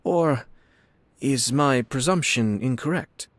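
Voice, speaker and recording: An actor playing a courteous, composed young clan lord in a video game, speaking formally with a subtly wry manner. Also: male voice, mid-range voice, no room sound, natural voice. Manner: formal, measured, polite. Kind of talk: video game character acting. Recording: music behind the voice. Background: game music.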